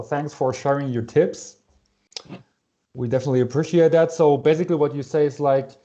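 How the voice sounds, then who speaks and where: A man's voice: medium pitch, mellow, muffled recording. A man hosting an interview, asking questions casually and answering with short friendly agreement. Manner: friendly, brief agreeing replies. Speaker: interviewer host.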